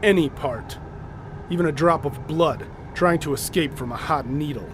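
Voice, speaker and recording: An actor playing a commanding, methodical leader of a polar expedition in an audio drama, explaining things deliberately and with authority. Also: male voice, on the high side, background noise, lightly acted. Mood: resolute.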